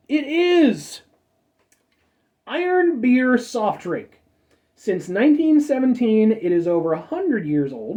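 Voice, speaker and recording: A man's voice: high voice, warm tone, recorded from a distance, dull audio. Chatty stuffed-up man reviewing a soda. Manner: rambling, self-correcting, chatty asides. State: stuffed-up nose. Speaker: food review show host. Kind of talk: casual product review.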